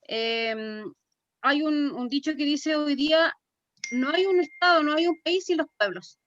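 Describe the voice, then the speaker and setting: Chilean woman panelist, very high voice, deliberate delivery, dry and slightly dull recording. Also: a bit bright, dry recording. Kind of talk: panel discussion.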